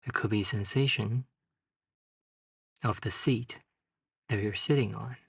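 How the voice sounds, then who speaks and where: A man leading a guided meditation, speaking in short, unhurried phrases with long pauses. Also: fairly low pitch, creaky, a bit bright, slightly rough, studio quality. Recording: distant mic in a roomy, echoing space.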